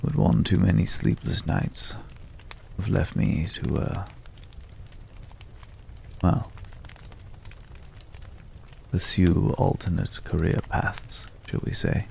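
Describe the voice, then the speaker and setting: A man playing a courteous, world-weary former vampire hunter in an intimate ASMR roleplay, speaking in a measured, hushed way with polite, hesitant pauses. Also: sits low, creaky, a little hiss or hum, exaggerated voice. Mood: intrigued, wistful.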